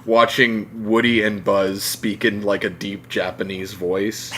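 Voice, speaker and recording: A man chatting casually as a podcast co-host, with an animated way of talking. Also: lowish voice, measured pace. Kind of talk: casual chat about video game movies. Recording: clear background noise.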